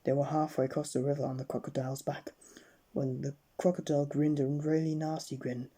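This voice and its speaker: A woman reading a bedtime story aloud with expressive, dramatic narration. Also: deep voice, fairly quick, husky.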